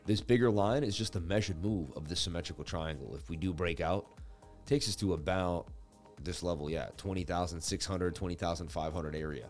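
Livestream market host, man, low and hoarse, chatty with viewers, soft music bed. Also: brisk. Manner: animated, chatty, talks to live viewers. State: losing his voice, scratchy. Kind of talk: live chart analysis commentary.

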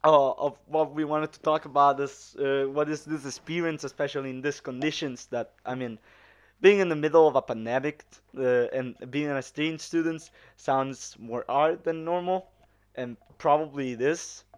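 A young man from Sicily, hosting a casual podcast and often pausing to search for words. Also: on the high side, steady tone, clear, resonant tone. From Sicily, Italy. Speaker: podcast host.

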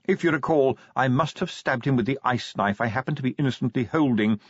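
A man voicing an unflappable formal butler, deferential, smooth and poker-faced in an audiobook story. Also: medium pitch, very animated, moderate pace, no room sound, dull audio, voice pushed up. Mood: poker-faced, mild.

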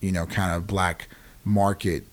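A man's voice: sits low, level tone, unhurried. An American man in his forties explaining things conversationally as an interview guest, emphatically and often checking that the listener follows. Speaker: podcast guest, nonprofit founder.

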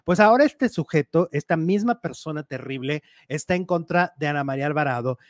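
A man's voice: on the high side, brisk, dry recording, studio quality. Chatty man dishing gossip. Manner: animated, chatty, reads out news items. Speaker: gossip show co-host. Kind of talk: casual gossip chat.

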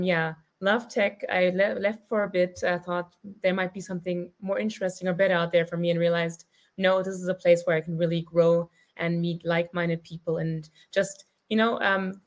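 A woman from Germany giving an upbeat self-introduction on a video call, with frequent ums. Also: fairly low pitch. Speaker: community co-founder.

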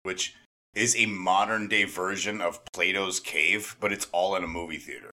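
A man co-hosting a podcast in casual banter, excitable and emphatic, often repeating himself. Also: low voice, moderate pace. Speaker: podcast host.

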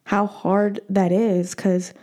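Chatty woman podcast host, mellow tone. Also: mid-range voice, little pitch movement, takes it slowly. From United States. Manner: chatty, many fillers and restarts. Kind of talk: casual podcast chat.

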